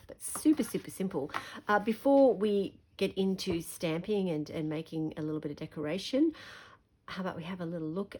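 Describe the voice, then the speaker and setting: An Australian woman with a slightly croaky voice, chatting through a hands-on craft tutorial at her desk. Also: low-pitched, slight background noise. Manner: chatty, relaxed, thinking aloud. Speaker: craft demonstrator.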